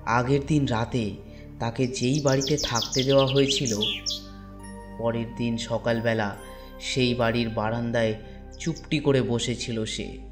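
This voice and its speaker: A man narrating a scripted horror story in a deliberate way, with dramatic pauses between phrases. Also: mid-range voice, level tone, over music.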